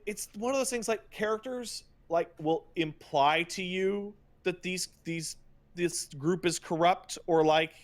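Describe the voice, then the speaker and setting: A man hosting a podcast, ranting casually about video games in an emphatic, profane, stop-and-start way. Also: high-pitched. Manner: emphatic, profane, halting with repetitions and mocking paraphrases. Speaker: podcast host.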